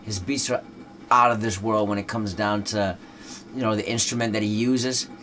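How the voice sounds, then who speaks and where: A Dutch rapper speaking English as a second language, chatting casually in an interview with a laid-back, loose delivery full of fillers. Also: male voice, on the low side, fairly even intonation, moderate pace, fairly bright tone, slight background noise.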